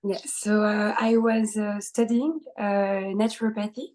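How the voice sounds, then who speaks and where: A woman's voice: medium pitch, little pitch movement, a little slow, a little mellow. A woman in her twenties from France with a French accent, a podcast guest speaking hesitantly with many fillers and small laughs. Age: 20s. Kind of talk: casual interview conversation.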